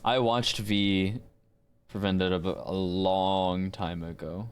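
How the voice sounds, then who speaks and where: A man's voice: deep voice, little pitch movement, slow pace, clean tone. A man who works as a voice actor, chatting casually and playfully to his livestream audience in a musing way. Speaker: voice actor and live streamer. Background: soft plucked guitar music.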